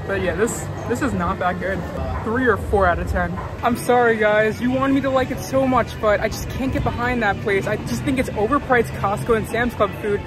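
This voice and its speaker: A man's voice: high-pitched, steady tone, moderate pace, over music, a crowd in the background, poor audio. A young man in a casual food-review vlog, chatty and frank, often saying "like" and "literally", filmed outdoors at a theme park. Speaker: vlogger.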